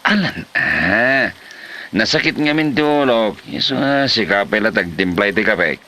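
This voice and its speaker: A man's voice: lowish voice, animated, a little slow, background noise. A man acting a lazy, hard-drinking husband in an Ilocano comedy drama, wheedling and whining with an Ilocano accent. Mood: wheedling, whiny.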